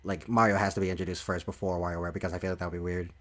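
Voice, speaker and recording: A man's voice: low voice, flat delivery, rapid-fire, a bit bright. A man hosting a casual podcast chat about games, often repeating and rephrasing as he works out his thoughts. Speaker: podcast host.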